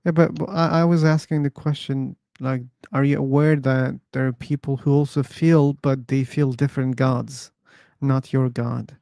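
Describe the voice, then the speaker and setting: Male stream host, gravelly and mellow, pushes guests with pointed questions, dry sound. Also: mid-range voice, flat delivery, normal speed, no echo. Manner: probing, teasing questioner. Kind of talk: casual debate chat. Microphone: streaming setup.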